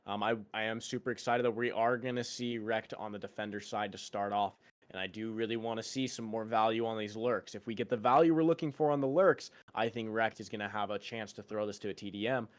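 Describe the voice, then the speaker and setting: A man's voice: lowish voice, steady tone, a little fast, hardly any pauses, no room sound. A man doing live esports commentary, upbeat and building hype, often repeating a word as he searches for the next. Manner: upbeat, stretches words, repeats himself mid-sentence. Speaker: esports caster.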